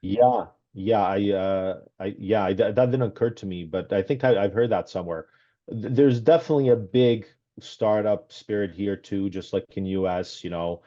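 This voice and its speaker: A man raised in Canada, speaking as a guest on a video call interview through a headset, talking casually with many pauses and restarts. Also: on the low side, mellow. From Serbia, raised in Canada. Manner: hesitant, many ums and restarts. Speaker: guest, author. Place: small room at home. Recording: dull lo-fi video call audio.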